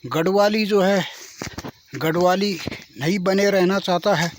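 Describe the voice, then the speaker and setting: A man's voice: sits high, a little slow, slight background noise. A man from Garhwal speaking with a tinge, talking earnestly to his viewers as friends while outdoors in the hills. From Garhwal, Uttarakhand. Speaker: vlogger. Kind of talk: singing then casual vlog talk.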